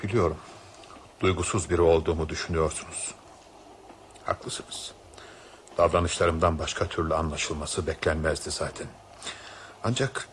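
Anxious, insistent train passenger, low voice. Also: male voice. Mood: apologetic, troubled. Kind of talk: Turkish radio drama acting. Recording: noisy old radio play recording.

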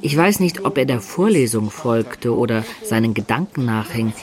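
A man's voice: medium pitch, expressive, moderate pace, crowd noise, close to an everyday voice. An actor playing a medieval adventurer who recounts his student youth with wry, ornate storytelling in a literary radio drama. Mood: amused, recounting. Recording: studio radio drama with music beds.

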